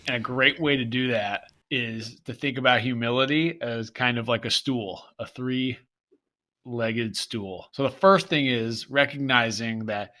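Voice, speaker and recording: Bright, upbeat male podcast co-host. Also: mid-range voice, measured pace. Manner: upbeat, playful, explains with metaphors. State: congested and stuffy. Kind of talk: casual podcast chat.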